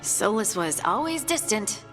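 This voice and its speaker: A woman playing a gentle, thoughtful adventurer in a fantasy game, speaking in a hesitant, reflective and friendly way. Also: mid-range voice, very animated, unhurried. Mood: troubled, reflective. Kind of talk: fantasy video game acting. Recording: long reverb, faint music.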